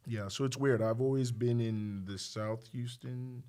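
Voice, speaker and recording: A man's voice: mid-range voice, fairly even intonation, measured pace, mellow. A man from Nigeria, chatting casually as a doctor and podcast guest in a self-deprecating, joking way. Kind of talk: casual interview chat.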